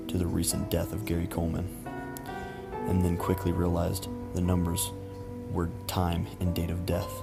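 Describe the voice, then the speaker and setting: A man reading a scripted creepy story as narrator in a measured, matter-of-fact way. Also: sits low, gravelly. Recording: music behind the voice. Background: background music.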